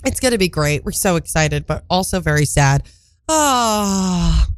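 A woman's voice: fairly low pitch, expressive, measured pace, clean tone, no room sound. A congested woman, her voice still recovering, co-hosting a casual pop culture chat show in a playful, emphatic, conversational way. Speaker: radio show co-host.

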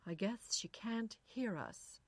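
A woman's voice: fairly high pitch, slow, dry recording, pitched above the performer's own voice. An anxious, curious wife from an audiobook story, acted by a woman. She speaks emphatically in a slightly raised voice, insistent and easily astonished, with a dry sound. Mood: fascinated.

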